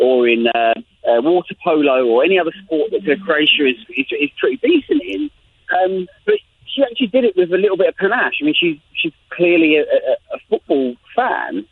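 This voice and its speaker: A man's voice: a little high, breathy edge. A man with an English accent giving expert commentary as a journalist guest, thinking aloud with many restarts and fillers. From England. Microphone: phone line. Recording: tinny phone line with faint noise.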